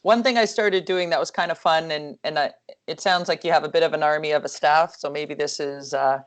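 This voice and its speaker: A woman with a low, calm voice talks about coaching over a video call. Her speech is relaxed and rambling, full of fillers and self-corrections, and her tone stays soothing. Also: brisk. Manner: chatty, lots of fillers and restarts. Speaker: hockey coach. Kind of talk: casual video call discussion.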